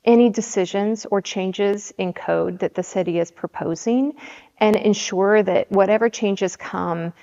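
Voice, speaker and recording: Woman in her 40s from the Seattle area, city council candidate, measured and hesitant, muffled sound with a long reverb tail. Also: mid-range voice, mellow. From Seattle area, USA. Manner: measured, with frequent ums and restarts. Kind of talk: candidate interview answers. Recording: dull audio with long hall reverb.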